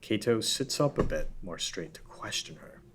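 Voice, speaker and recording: A man reading the stage directions and narration of a script at a table read in a steady, matter-of-fact way. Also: lowish voice, moderate pace, slight background noise.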